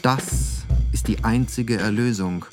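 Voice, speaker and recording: A male actor playing a reflective photographer, giving a measured, contemplative literary monologue in an audio play. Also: on the low side, over music.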